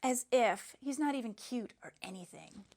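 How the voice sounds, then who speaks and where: A woman playing a sentimental, high-voiced schoolgirl storyteller. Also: lively intonation, normal speed, darkish tone. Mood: indignant. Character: sentimental schoolgirl telling a princess fairy tale.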